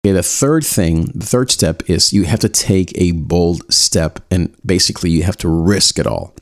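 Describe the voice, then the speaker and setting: A man's voice: sits low, normal speed. A man giving social skills advice in a casual spoken lesson, reflecting on a personal story and often correcting himself as he talks. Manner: reflective, self-correcting, acts out quoted lines.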